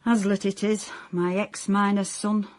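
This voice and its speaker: A woman's voice: mid-range voice, little pitch movement, unhurried, very noisy, close to an everyday voice. An actress playing a nagging older mother in a radio comedy drama, dry, sceptical and wistful, with a South Yorkshire accent. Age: middle-aged or older.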